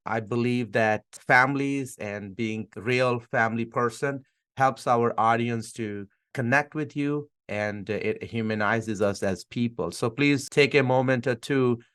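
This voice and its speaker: A man's voice: medium pitch, normal speed, fairly bright tone, clean tone. A man hosting an interview podcast, introducing his guest and asking questions. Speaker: podcast host.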